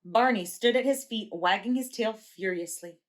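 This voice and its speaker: A preschool teacher reading a children's picture book aloud, clear, slow and expressive. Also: female voice, mid-range voice.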